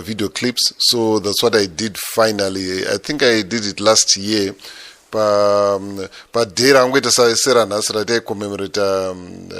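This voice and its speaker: Bright-voiced Zimbabwean man on a phone call. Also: lowish voice. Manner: code-switching between English and Shona, relaxed and chatty. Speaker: musician guest. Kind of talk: phone interview. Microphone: phone line.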